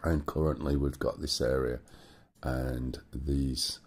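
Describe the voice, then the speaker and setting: A man with a hoarse, rumbly voice, chatting casually as a livestream host while he thinks aloud over a map. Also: little pitch movement, slow. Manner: muses aloud to himself while checking a map. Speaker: streamer. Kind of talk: casual gaming livestream chat.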